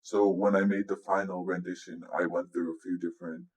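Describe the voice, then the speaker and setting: A man talking informally through a music software tutorial as a producer, explaining things step by step over a screen recording. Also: deep voice, flat delivery, a little fast, rough recording. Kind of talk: casual software walkthrough.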